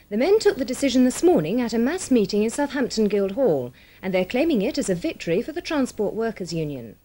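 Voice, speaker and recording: A woman reads a scripted regional television news bulletin in a formal, measured manner. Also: fairly high pitch, mellow. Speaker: television newsreader.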